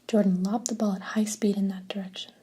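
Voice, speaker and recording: A woman reading a children's story aloud in a steady, soft whisper. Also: medium pitch, brisk, husky. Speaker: narrator.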